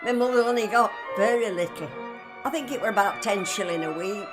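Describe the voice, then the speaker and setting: A woman's voice: mid-range voice, a little fast. An elderly woman with a Yorkshire accent, plainly recalling her family and working life as a former mill worker. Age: older. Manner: plain, matter-of-fact recollection. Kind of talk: interview reminiscence. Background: violin music.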